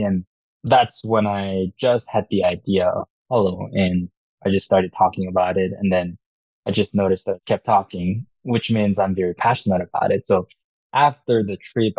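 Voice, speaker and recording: A man's voice: low-pitched, darkish tone, no room sound. A young man from Korea, a startup founder, answering interview questions in an earnest, upbeat way. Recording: dull, muffled sound.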